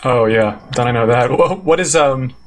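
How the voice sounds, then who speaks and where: A man's voice: medium pitch, moderate pace, a little hiss or hum. A man co-hosting a podcast, chatting casually and complimenting his guest. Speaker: podcast co-host. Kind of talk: casual interview chat.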